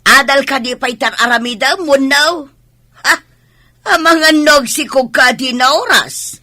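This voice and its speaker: A woman's voice: sits high, measured pace, pushed and bright, no room sound, clean studio sound. A woman playing a stern, suspicious mother with an Ilocano accent in a Philippine radio drama. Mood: accusing. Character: stern, suspicious mother scolding her student daughter.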